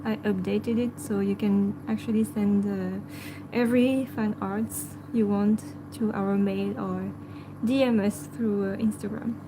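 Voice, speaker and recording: A woman with a French accent, a native French speaker, hosting a casual livestream and answering viewers in a hesitant way full of fillers, sometimes talking softly to her pet. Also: medium pitch, fairly even intonation, a little slow, noisy background.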